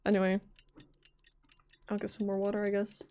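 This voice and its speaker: A woman's voice: medium pitch, completely flat delivery, moderate pace, mellow, a little hiss or hum. A woman livestreaming a game with casual, reactive commentary, informally musing aloud as she plays. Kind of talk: casual live gameplay commentary.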